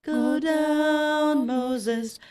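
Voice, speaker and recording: A woman sings a gospel spiritual as a signal acted by a female student. Her voice is high and extremely slow, with faint music, in a school radio drama. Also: fairly even intonation. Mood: solemn, calling.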